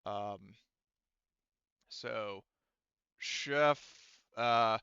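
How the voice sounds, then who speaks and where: A man narrating and calling rules as game master of a tabletop roleplaying game, in a casual, halting way with trailing thoughts. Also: fairly low pitch, bright, forceful tone, clean tone, no echo, muffled recording.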